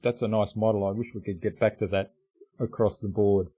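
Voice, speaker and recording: A man from Australia hosting an interview, speaking thoughtfully and hesitantly with many pauses and ums. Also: fairly low pitch, steady tone, mellow, slightly rough, dry recording. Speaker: podcast host. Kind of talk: conversational interview.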